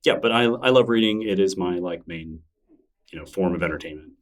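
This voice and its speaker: Low-voiced man, relaxed and rambling. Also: fairly quick, distant mic. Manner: relaxed, rambling, self-deprecating with frequent restarts. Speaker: podcast guest. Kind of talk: casual interview conversation.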